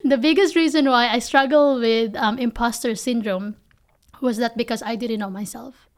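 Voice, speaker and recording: A woman's voice: on the high side, moderate pace. A woman from the Philippines with a Filipino accent, speaking candidly and emphatically in conversational interview answers as a company founder.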